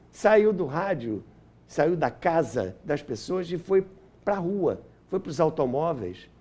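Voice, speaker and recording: Older man, Brazilian accent, lively pitch swings, soft-edged tone, explanatory storytelling, interview guest. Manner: explanatory, acts out quotes, repeats phrases for emphasis. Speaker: interview guest, historian and author.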